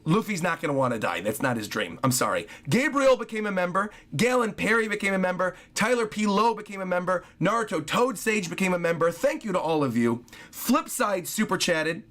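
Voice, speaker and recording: Hoarse high-voiced male streamer. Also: big pitch swings, a little fast, few pauses, a bit bright. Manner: chatty, apologetic, thanks viewers often. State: hoarse, scratchy voice. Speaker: streamer reading viewer donations. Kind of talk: casual livestream chat.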